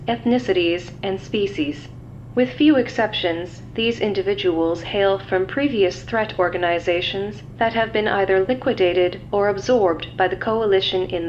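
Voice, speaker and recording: A woman voicing an official computer system that reads intelligence files aloud in a formal, impersonal, clipped manner. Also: close to an everyday voice. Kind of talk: scripted dossier read-out. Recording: dull lo-fi audio, faint rumbling noise.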